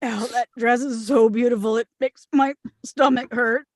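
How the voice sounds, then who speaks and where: A woman's voice: a little high, fairly even intonation, normal speed, dry recording, studio quality. A woman playing a blunt, brash, queasy bridesmaid with urgent delivery in a comedy table read.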